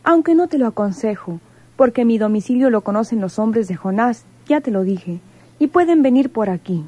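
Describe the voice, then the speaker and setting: A woman's voice: fairly high pitch, animated, moderate pace, mellow, breathy edge. An actress with a Mexican accent playing a cautious, stern woman in a radio serial. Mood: cautious, firm.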